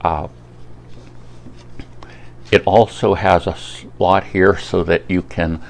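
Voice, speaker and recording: A man giving a casual, unscripted product review in a rambling way, pausing often to think. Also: sits low, little pitch movement, creaky, darkish tone.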